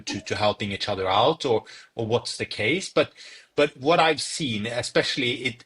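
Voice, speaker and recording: A man's voice: on the low side, a bit bright. A young man from Norway, a startup founder, answering interview questions with many fillers and repeated words. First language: Norwegian.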